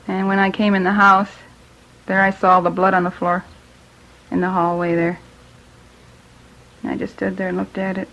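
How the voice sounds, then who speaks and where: A woman giving plain first-person testimony in a subdued, halting way. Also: medium pitch, a bit bright. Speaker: witness, widow of the victim. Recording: dull old radio recording.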